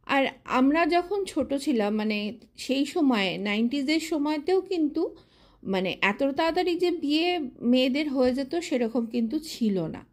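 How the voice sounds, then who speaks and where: A woman, telling her own love story to viewers in a chatty, reminiscing way with frequent pauses. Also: high-pitched, animated. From Kolkata, India. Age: 50s.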